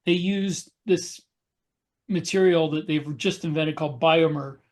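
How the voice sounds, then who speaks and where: Guy with a slightly high voice chatting on a podcast, explains stuff step by step with pauses. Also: a little mellow. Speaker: podcast panelist and author. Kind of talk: casual podcast discussion.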